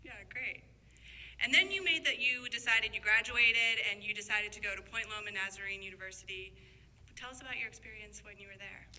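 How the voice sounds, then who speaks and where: A woman's voice: mid-range voice, fairly quick, sharp tone. A woman interviewer asking supportive questions and affirming what she hears. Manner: affirming, echoes and sums up answers.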